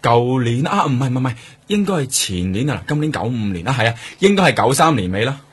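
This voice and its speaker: Fast-talking husky Hong Kong man. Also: mid-range voice, very animated. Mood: breezy, teasing. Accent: Hong Kong Cantonese. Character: chatty, cagey male hospital staffer, teasing but helpful. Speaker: actor in a radio drama. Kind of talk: casual radio drama dialogue.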